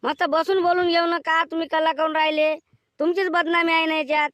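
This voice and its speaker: A man voicing an exasperated village peacemaker in a cartoon comedy, admonishing in a rural Vidarbha Marathi accent with a pitched-up voice. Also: little pitch movement, fast, fairly bright tone, dry recording. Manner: admonishing, mediating.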